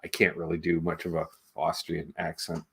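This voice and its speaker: A man's voice: deep voice, monotone, normal speed, creaky, a bit bright, breathy edge. A man hosting a livestream in a casual, chatty way, wandering between asides and viewer comments. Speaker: livestream show host.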